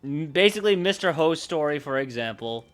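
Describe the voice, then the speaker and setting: A man livestreaming casually, thinking aloud in a halting, unscripted way as he works through ideas. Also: on the high side, lively intonation, soft background music. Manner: halting, pausing to think and correct himself. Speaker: livestreamer brainstorming a roleplaying campaign.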